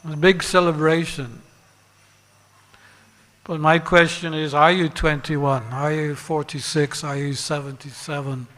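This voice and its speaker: Slow-paced man preaching in a reverberant hall. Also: on the high side, flat delivery, a bit bright. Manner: deliberate, pausing and repeating phrases for emphasis. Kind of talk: sermon with parables and rhetorical questions. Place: large reverberant temple hall.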